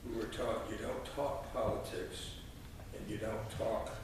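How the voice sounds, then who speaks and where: A man in his sixties speaking at a church lectern as a lay reader, in a folksy, halting way with frequent pauses. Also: lowish voice, fairly even intonation. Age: 60s. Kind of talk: informal church address and scripture reading. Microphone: distant camera microphone. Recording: echoey church, distant mic, noisy.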